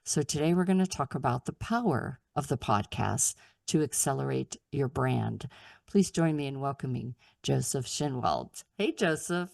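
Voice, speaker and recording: Older female podcast host, low and gravelly, encouraging tone. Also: lively intonation, normal speed, darkish tone, no echo, studio quality. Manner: upbeat, encouraging. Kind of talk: casual interview talk.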